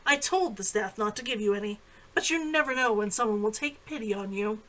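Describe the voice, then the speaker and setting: A woman's voice: medium pitch, a little fast, a bit bright, lightly acted, low-quality recording. A woman reading a storybook part as a bossy noble elder sister, formal and reproachful. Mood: stern, scolding.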